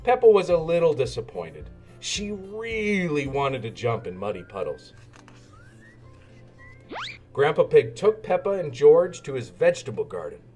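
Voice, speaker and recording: A man reading a children's story aloud in an animated narrating style. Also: a little high, measured pace. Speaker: storybook reader. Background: music.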